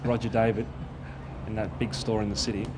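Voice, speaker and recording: A young man in his twenties talks on a city street, his voice sitting a little low. He rambles and hesitates, peppering his talk with "sort of," while steady traffic noise runs clearly behind him. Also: a little fast. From born in Italy, raised in Australia. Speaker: clothing designer and shop owner. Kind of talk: casual street interview.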